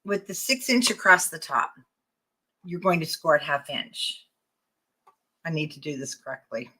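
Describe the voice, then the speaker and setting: Older female craft host, slightly hoarse, bright and low-set, talks casually to viewers while working. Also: lively intonation, measured pace. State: losing her voice. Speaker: craft teacher. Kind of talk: live-streamed craft tutorial.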